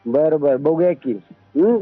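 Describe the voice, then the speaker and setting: A tinny phone recording of a man with a Maharashtrian accent and a slightly high voice. He argues firmly but with restraint, repeating his points over faint music. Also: unhurried, no echo. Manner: firm, restrained, repeats himself. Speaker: book publisher. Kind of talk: phone argument.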